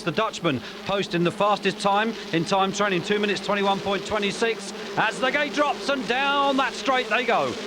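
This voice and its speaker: A man commentating a motocross race in an energetic way that picks up as the action builds. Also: high voice, noisy background. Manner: energetic, rising excitement on race calls.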